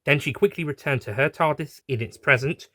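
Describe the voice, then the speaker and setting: A man's voice: on the high side, brisk, sharp tone, no room sound. A man reading a scripted adventure story as its narrator.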